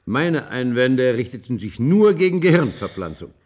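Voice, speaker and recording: An actor playing a proud, bitter, ailing old ex-surgeon in a radio play, earnest and stubborn, hesitant then insistent. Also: male voice, medium pitch, sing-song, normal speed, a little mellow. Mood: stubborn, grave. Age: older. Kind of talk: German science-fiction radio play acting.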